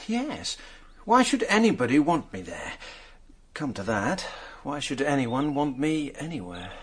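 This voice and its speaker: A self-mocking British drinker fallen on hard times, in a radio play. His middle-pitched voice rises and dips freely, sardonic, rueful and a little hesitant. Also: sing-song, unhurried, husky. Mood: defensive, sardonic. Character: down-at-heel village drinker, self-mocking, defensive, once genteel. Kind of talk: radio drama acting.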